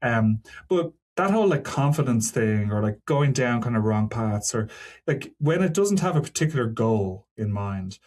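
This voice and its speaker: A man with a medium-pitched voice talks as a technical guest in an interview. His delivery is informal and discursive. He qualifies his points and drops in asides as he explains. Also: animated, normal speed, a little mellow. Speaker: podcast guest, senior software engineer. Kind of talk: casual technical interview talk.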